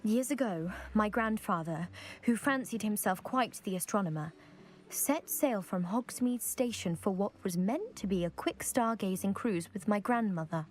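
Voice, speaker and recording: A woman's voice: mid-range voice, lively intonation, normal speed, close to an everyday voice. A woman playing a proud, eager young lady from a scholarly family in a video game, with a posh British accent and precise, self-assured delivery. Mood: earnest. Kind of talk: video game character acting.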